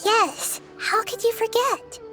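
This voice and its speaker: A woman's voice: extremely high pitch, slow pace. A woman voicing a small, girlish nature deity in a video game, wise, curious and kind, speaking thoughtfully and precisely. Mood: puzzled. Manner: thoughtful, precise, gently reassuring. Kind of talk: scripted video game acting. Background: soft game music.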